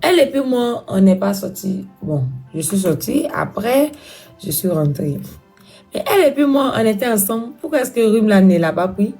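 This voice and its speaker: A woman's voice: medium pitch, very animated, normal speed, dark tone, soft background music. A woman hosting a casual live stream, stuffy-nosed from a cold, chatty and teasing as she asks viewers for gifts.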